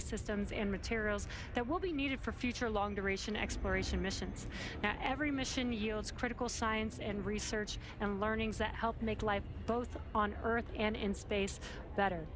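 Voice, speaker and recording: Female live launch commentator, higher-pitched voice, clear music underneath.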